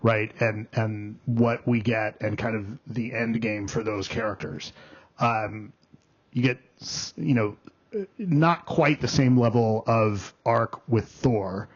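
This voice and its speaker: Halting man critic, muffled sound. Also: lowish voice. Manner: halting, many restarts and fillers, then bursts of emphasis. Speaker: film critic, co-host. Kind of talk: casual review chat. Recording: dull lo-fi audio.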